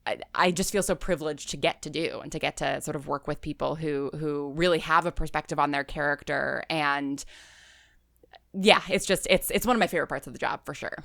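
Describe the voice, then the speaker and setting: A woman's voice: sits low, brisk, creaky, a bit bright, dry recording, clean studio sound. A woman talking casually as an interview guest, rambling with lots of "like" and "you know", stressing key words. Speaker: interview guest, audio drama writer and creator. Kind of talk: casual, chatty interview answers.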